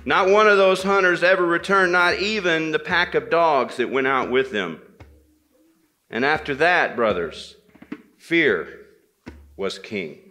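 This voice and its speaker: A man telling a folktale aloud to listeners, deliberate and repeating phrases for dramatic effect. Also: a little high, bright, forceful tone. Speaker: storyteller at a men's gathering. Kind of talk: live oral storytelling of a folktale, dramatic pauses and repetition.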